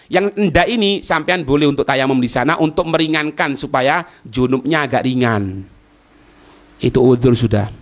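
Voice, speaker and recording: High, animated East Javanese male preacher. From East Java, Indonesia. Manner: asks and answers own questions, checks listeners understand. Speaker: Islamic preacher and teacher. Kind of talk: informal religious lecture explaining a text line by line.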